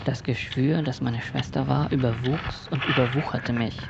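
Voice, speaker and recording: A man playing a haunted, disoriented narrator of a surreal radio drama, gravelly and speaking in deliberate, broken phrases. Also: medium pitch, fairly even intonation, natural voice. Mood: morbid, intense. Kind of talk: surreal first-person radio drama monologue. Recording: dull lo-fi recording, loud background noise.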